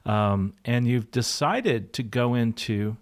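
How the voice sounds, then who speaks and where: A man's voice: medium pitch, slow. A man hosting a podcast in an upbeat, affirming, conversational way. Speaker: podcast host, pilot and career coach. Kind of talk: conversational interviewing.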